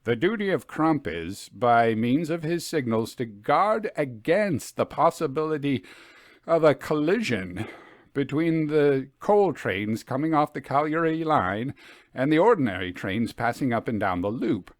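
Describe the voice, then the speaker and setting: A man voicing a genial gentleman in an old story, with keen, confiding, old-fashioned speech. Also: medium pitch, expressive, measured pace, dry recording, clean studio sound, pitched above the performer's own voice, lightly acted. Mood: grave, explanatory.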